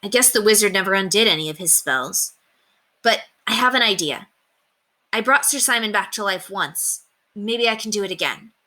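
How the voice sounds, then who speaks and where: Lady doing earnest lil squire boy, kind n eager, bright, storybook style. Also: medium pitch, lively intonation, moderate pace, pitched above the performer's own voice. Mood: hopeful.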